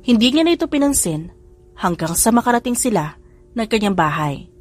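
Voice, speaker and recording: Expressive woman narrating a story. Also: fairly high pitch, brisk, faint music behind. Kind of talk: audiobook storytelling with character voices.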